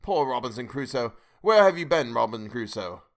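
Brief: man reading old miser, tearful and giddy, a bit higher than the narrator. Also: brisk, fairly bright tone. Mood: excited. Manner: between laughing and crying. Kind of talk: storybook reading.

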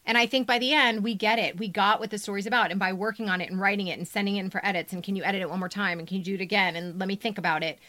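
A woman's voice: mid-range voice, steady tone, racing, few pauses, bright, forceful tone, no echo. A woman co-hosting a podcast as a story editor, talking in a direct, emphatic, conversational way.

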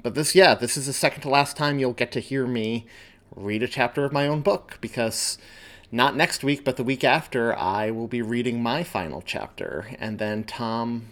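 A man's voice: mid-range voice, moderate pace, fairly bright tone. A man hosting a podcast and reading his own story aloud, moving between casual talk and narration. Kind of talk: casual podcast intro and audiobook-style narration.

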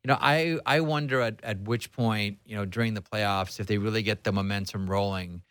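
A man with a fairly low voice hosts a hockey talk show in a casual, conversational way. He puts things bluntly at times. The recording is dry, with very little room sound. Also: a little fast, a bit bright, smooth, dry recording. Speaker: sports talk show host.

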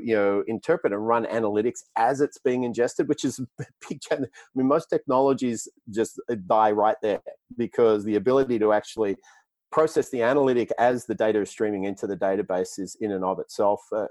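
Australian man, low-pitched, halting. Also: a little mellow, dry recording. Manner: restarts and repeats words, many fillers. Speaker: tech company executive guest. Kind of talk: podcast interview answers.

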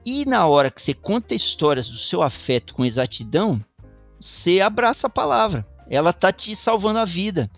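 A man's voice: high voice, big pitch swings, normal speed, soft background music. A middle-aged man from São Paulo with a Paulistano Portuguese accent, talking in a thoughtful, meandering way as a guest musician, full of restarts and tag questions. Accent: Paulistano Brazilian Portuguese. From São Paulo, Brazil. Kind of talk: reflective interview talk.

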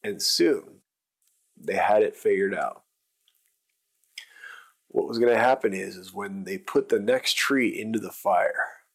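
A man's voice: sits low, gravelly, fairly bright tone, husky. A man narrating an improvised bedtime story for children, with simple wording and emphatic repetition.

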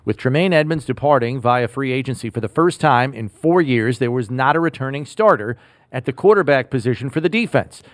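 Measured man hosting a sports show. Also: mid-range voice, expressive, no room sound, clean studio sound. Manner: measured, announcer-like delivery. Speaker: podcast host. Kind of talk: scripted sports podcast talk.

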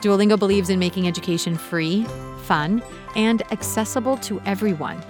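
A woman hosting a podcast, reading a scripted story in a measured, clear storytelling voice. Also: mid-range voice, music in the background. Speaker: podcast host and narrator. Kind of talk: scripted narration.

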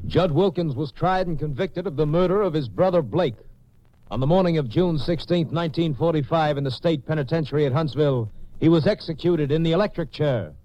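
A man's voice: a little high, a little fast, mellow, soft background music. A male announcer delivering scripted announcements in 1950s radio style. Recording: muffled 1950s radio recording.

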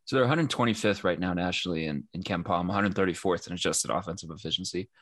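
A man's voice: low-pitched, fast, no room sound, studio quality. A man hosting a sports podcast, talking in a loose, conversational way with frequent fillers and restarts. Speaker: podcast host. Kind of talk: casual sports podcast chat.

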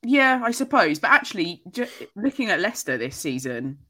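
A woman's voice: mid-range voice, very animated, fairly quick, bright, forceful tone. Hedging woman pundit. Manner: hedging, with many restarts and frequent laughs. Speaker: podcast guest pundit. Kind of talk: casual football podcast chat.

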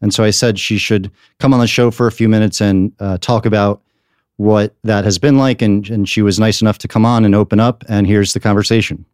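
A man hosting a podcast, chatting casually and interviewing guests in a relaxed conversational way. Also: on the low side, clear, resonant tone, no room sound, clean studio sound. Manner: quick, conversational, thanks guests. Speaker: podcast host.

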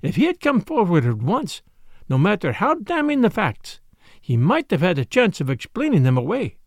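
A man's voice: sits high, huge pitch swings, no echo, studio quality, voice pushed up, exaggerated voice. A man voicing an elderly, courteous detective in a storybook, with a formal, pleading manner. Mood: grave urging. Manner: formal, pleading, dramatic pauses. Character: elderly Belgian male detective, courteous, grave, insistent.

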